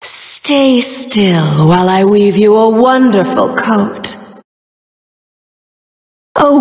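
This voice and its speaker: An actress playing a seductive, predatory spider monster and proud brood mother in a video game, with a gloating, drawn-out delivery. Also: mid-range voice. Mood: mocking. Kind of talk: video game character voice lines. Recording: echoey hall-like reverb.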